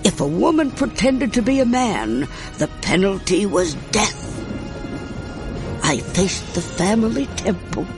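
A woman playing a fond grandmother, narrating a storybook in a measured, expressive way. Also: medium pitch, music in the background. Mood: fond, dramatic.

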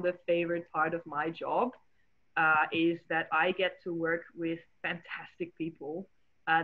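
A woman from the Netherlands with a Dutch accent, a marine biologist presenting slides on a video call in a friendly, explanatory way, with many fillers. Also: fairly low pitch. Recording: tinny phone-like call audio.

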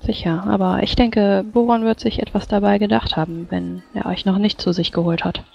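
A woman playing a composed war veteran in a tabletop roleplay, probing with polite, formal, measured speech. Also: mid-range voice, fairly even intonation, gravelly, breathy edge. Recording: dull, muffled voice-chat audio. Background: background music.

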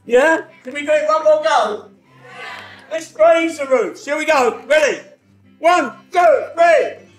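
A man playing a jolly, exuberant pantomime entertainer who coaxes a crowd into singalongs in a shouty stage manner. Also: very high-pitched, takes it slowly. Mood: rallying, excited. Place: theatre or hall stage, live performance. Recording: echoey hall stage recording with music.

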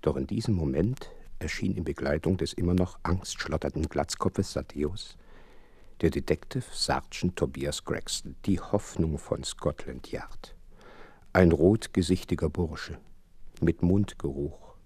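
An actor playing an earnest gentleman doctor who recounts a case in florid, wry storytelling in an audio drama. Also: extremely low pitch, a little slow, slightly rough, dry recording, heavily acted. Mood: wry narrating. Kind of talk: dramatised first-person narration, German audio drama.

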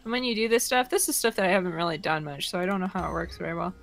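A woman in casual chat during an online game, with a playful, excitable manner. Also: fairly low pitch, racing, vocal fry, faint music behind.

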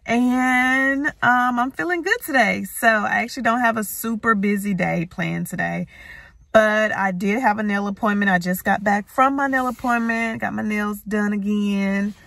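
Bright-voiced American woman vlogging casually. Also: medium pitch, moderate pace, gravelly, dry recording. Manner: informal, addresses viewers as y'all. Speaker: lifestyle vlogger, host. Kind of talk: casual vlog chat to viewers. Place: parked car and home kitchen.

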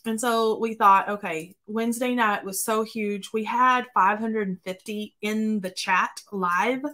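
A woman in her forties, slightly hoarse, chatting casually as she hosts a live craft stream from her home craft room and greets viewers by name. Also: medium pitch, steady tone, measured pace, pushed and bright. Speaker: craft livestream host.

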